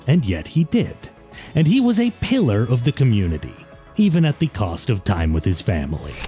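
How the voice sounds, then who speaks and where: A man's voice: mid-range voice, warm tone. A man narrating a scripted video essay in a conversational, wry way, as the host of the show.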